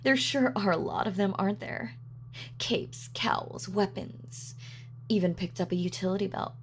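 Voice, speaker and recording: A woman's voice: medium pitch, measured pace, slight background noise, lightly acted. A woman playing a gloating, sadistic supervillain in an audio roleplay, with a mock-sweet, sardonic, taunting manner. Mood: gloating, teasing. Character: gloating female supervillain, sadistic, darkly playful. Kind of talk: villain monologue in audio roleplay.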